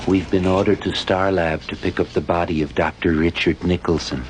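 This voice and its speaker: An actor playing a servile, literal-minded mortuary assistant, formal and deadpan in a radio drama. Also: male voice, deep voice, moderate pace, a little hiss or hum, natural voice. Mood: obedient, puzzled. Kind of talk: audio drama acting.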